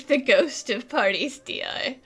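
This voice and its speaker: A woman's voice: sits high, slow pace, fairly bright tone, slightly rough, soft background music, full character voice. A woman voicing a playful, caring young female companion in a casual style. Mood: amused, playful.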